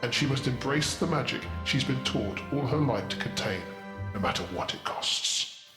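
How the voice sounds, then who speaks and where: A man voicing a grave, dramatic storyteller who announces a fantasy book blurb in a portentous, deliberate way. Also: mid-range voice, expressive, close to an everyday voice. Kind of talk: theatrical scripted blurb narration. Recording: long reverb, faint music behind.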